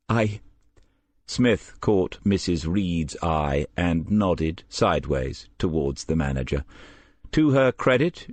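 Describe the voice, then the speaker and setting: A man's voice: low voice, dry recording. A man reading a crime story as scripted audiobook narration.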